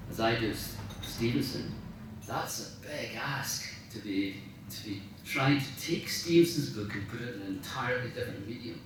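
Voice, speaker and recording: A man giving an informal after-dinner talk as a writer, speaking with enthusiasm and emphasis and often searching for his words. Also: medium pitch. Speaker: guest speaker, radio dramatist. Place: large indoor room at a club dinner. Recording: very echoey room, distant mic, faint noise.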